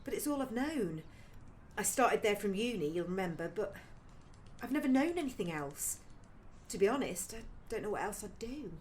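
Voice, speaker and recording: A woman's voice: mid-range voice, sing-song, moderate pace, darkish tone, a little hiss or hum, close to an everyday voice. An actress playing a frustrated, apologetic career woman in her thirties in a naturalistic audio drama, speaking hesitantly. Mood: weary, frustrated.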